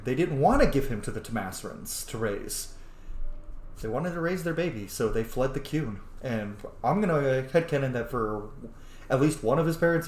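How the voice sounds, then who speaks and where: Animated, sweary young gamer in an echoey room. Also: mid-range voice. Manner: informal, sweary, thinking aloud. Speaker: video game streamer, roleplayer. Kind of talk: casual playthrough commentary.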